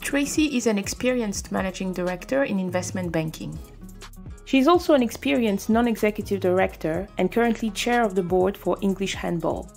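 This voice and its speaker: A woman hosts an interview podcast, introducing her guest in a measured, clear way. Her pitch sits in the middle range. Faint music plays quietly behind her. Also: expressive. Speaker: podcast host.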